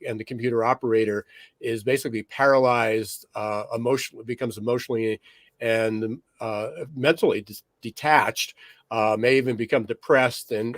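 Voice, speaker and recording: A man co-hosting a podcast, a little raspy today, talking loosely with many fillers and restarts. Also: medium pitch, no echo. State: slightly raspy from smoky air. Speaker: podcast co-host. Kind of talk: conversational podcast monologue.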